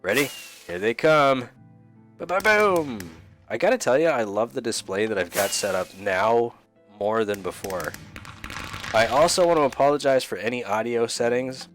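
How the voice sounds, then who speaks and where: A man hosting a casual game review channel, goofy and rambling, a little sick and sniffly today. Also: mid-range voice. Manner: goofy, rambling, jokey, affectionate toward viewers. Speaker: YouTube host reviewing game resource packs. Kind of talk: casual gameplay commentary.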